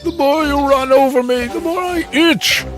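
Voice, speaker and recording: An actor voicing a grumpy, haughty lion king in a children's animated story, with an imperious, groaning delivery. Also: high voice, moderate pace, music in the background, natural voice. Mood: pained, irritated.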